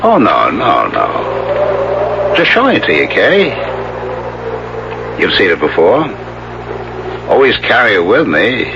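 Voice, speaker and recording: A man's voice: fairly low pitch, wildly swooping pitch, slow, long pauses, heavily acted. An actor in a 1970s radio drama playing a menacing, teasing male skier with an insinuating, mock-casual manner and pointed questions. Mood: sly menace. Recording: muffled, noisy old radio recording.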